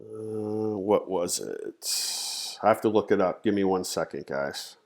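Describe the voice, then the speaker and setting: Congested man teaching in a low voice. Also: slow. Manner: conversational, thinks aloud, frequent "okay?" and "you know". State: congested, nasal. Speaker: trading educator, live stream host. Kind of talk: casual live-stream teaching.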